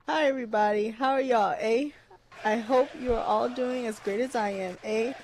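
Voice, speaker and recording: A woman's voice: on the high side, fairly even intonation, moderate pace, rough recording. A woman voicing a perky, fake-sweet Canadian wrestling champion, tagging "eh" onto nearly every sentence. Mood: fake cheerful.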